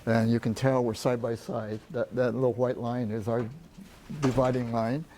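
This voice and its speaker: A soft-spoken man presenting at a business workshop, talking in a halting way with many restarts. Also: mid-range voice, little pitch movement, slight background noise. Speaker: port trade representative, presenter.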